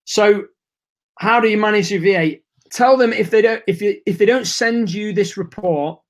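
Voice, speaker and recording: A man hosting a live webinar, chatty and informal, losing his voice. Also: sits high. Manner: chatty, informal, reads and answers chat messages. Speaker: webinar host.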